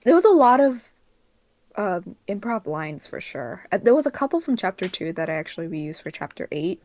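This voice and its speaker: A woman's voice: lowish voice, sing-song, moderate pace, dull audio. A giggly, rambling woman hosting a casual group podcast. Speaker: podcast host, fandub production crew member.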